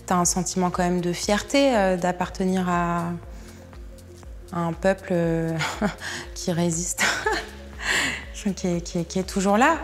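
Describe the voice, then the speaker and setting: A woman in her thirties speaks warmly in a documentary interview, laughing lightly between her words. Her voice sits a bit low for a woman, with faint music underneath. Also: fairly even intonation, unhurried, long pauses. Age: 30s.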